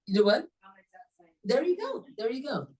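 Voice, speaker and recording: A woman with a low voice joking casually with fellow club members. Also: moderate pace, recorded from a distance. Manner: joking, animated. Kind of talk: casual chat.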